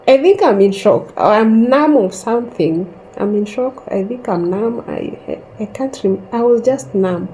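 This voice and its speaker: A woman in her thirties slowly telling a personal story in a calm, reflective way, pausing often. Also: a little high, slight background noise, low-quality recording. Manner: slow and reflective, with long pauses. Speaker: host sharing a personal story.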